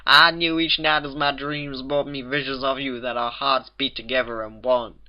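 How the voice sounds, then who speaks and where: A man's voice: mid-range voice, level tone, normal speed, highs cut off, pitched above the performer's own voice, natural voice. A man voicing a melodramatic young female farm pony, gushing and lovesick, in a cartoon fan story. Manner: gushing, overwrought.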